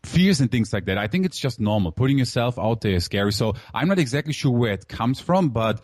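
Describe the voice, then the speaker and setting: A man's voice: mid-range voice, sing-song, brisk, a little mellow, dry recording, studio quality. A man who grew up in Germany, in his thirties, telling his life story in a casual interview with many fillers and an open, candid way of talking. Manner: candid, filler-heavy, calls host "man". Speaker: guest entrepreneur.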